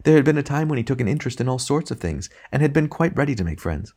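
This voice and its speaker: A man's voice: medium pitch, sing-song, fast, a little mellow, breathy edge, pitched above the performer's own voice. A man voicing the ghost of a domineering wife, complaining and self-righteous in an audiobook reading.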